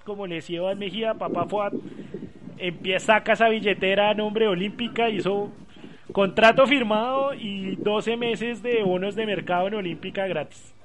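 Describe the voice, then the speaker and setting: A man talking football casually with co-hosts, chatty and quick to laugh. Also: high-pitched. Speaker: sports talk host. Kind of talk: casual sports chat.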